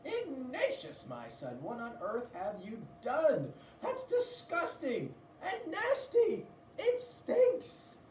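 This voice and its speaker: A woman's voice: high voice, huge pitch swings, a little mellow, pitched above the performer's own voice, heavily acted. A woman voicing a dramatic, exclaiming mother from a children's storybook. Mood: disgusted. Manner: exclaiming, drawn-out, melodramatic. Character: dramatic storybook mother, impressed then disgusted by son. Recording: roomy, distant mic, faint noise.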